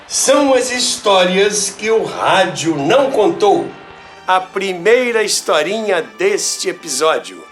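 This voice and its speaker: A man with a Brazilian Portuguese accent narrating scripted stories in a theatrical, deliberate way as a show host. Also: high-pitched, big pitch swings, a bit bright, faint music behind. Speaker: host and storyteller of a radio history show.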